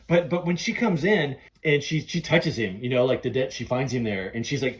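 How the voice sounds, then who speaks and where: A middle-aged man hosting a podcast, chatting casually and gushing like an excited fan. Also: medium pitch, fairly quick. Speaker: podcast host. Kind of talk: casual chat between film fans.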